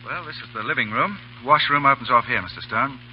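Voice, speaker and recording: An actor in an old radio drama playing a gruff, wry, soft-hearted veteran cavalry lieutenant who talks bluntly. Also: male voice, medium pitch, brisk, slight background noise, close to an everyday voice. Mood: teasing. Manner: sardonic, blunt, breezy. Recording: muffled, humming old radio broadcast.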